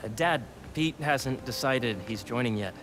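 A man's voice: mid-range voice, unhurried, background noise, close to an everyday voice. An actor playing a friendly, idealistic young American man in a video game cutscene, talking casually and earnestly. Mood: casual, upbeat. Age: young adult. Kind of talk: naturalistic game cutscene acting.

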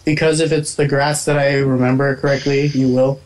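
A man's voice: mid-range voice, flat delivery, brisk, poor audio. A man hosting a casual gaming session, guiding friends through a level and cursing at lag. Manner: guiding others, swearing at lag. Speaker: let's play host.

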